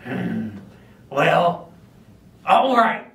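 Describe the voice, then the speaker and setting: A man's voice: fairly high pitch, very animated, very slow, gravelly, a bit bright, exaggerated voice. Man voicing a grumpy hungry troll. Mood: gruff. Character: grumpy hungry male troll under a bridge. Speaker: puppeteer. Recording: echoey room, mic far off.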